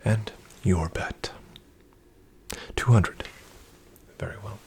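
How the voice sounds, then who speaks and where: A man in a soft-spoken, whispered ASMR roleplay as a casino dealer, speaking in a polite, formal, deferential way. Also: fairly low pitch, extremely slow.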